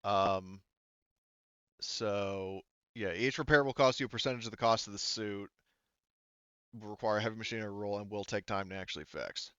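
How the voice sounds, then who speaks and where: A man with a low, smooth voice runs a tabletop role-playing game. He explains rules in a casual, halting way, often rewording himself. The sound is dry and slightly dull. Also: fairly even intonation, a bit bright, dry recording. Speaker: game master.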